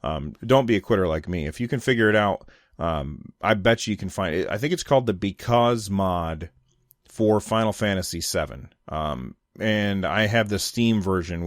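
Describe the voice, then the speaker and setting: A man's voice: low-pitched, animated, moderate pace, dry recording, studio quality. An American man giving casual, unscripted solo commentary on gaming news, thinking aloud in loose conversational phrases. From United States.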